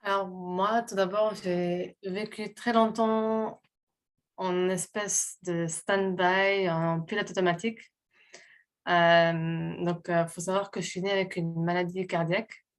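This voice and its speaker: A woman from Portugal with a slightly low voice tells her own story, halting and searching for words. She sounds sincere and unhurried about getting each thought out. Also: level tone. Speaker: guest. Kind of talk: personal testimony in conversation.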